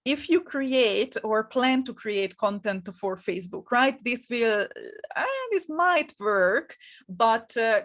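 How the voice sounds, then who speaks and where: A middle-aged woman with a Hungarian accent, coaching in an energetic, encouraging webinar talk, often repeating words and pausing with fillers. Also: medium pitch. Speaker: marketing coach.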